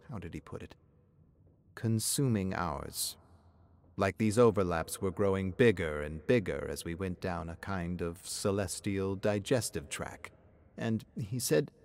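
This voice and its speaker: A man's voice: sits low, darkish tone. A man voicing an anxious, grieving middle-aged woman in a story, speaking in a hesitant, wistful way.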